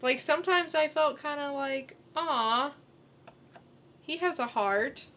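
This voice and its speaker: Bright, higher-pitched woman in casual chat. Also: slow pace. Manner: informal, agreeable listener. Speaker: podcast co-host.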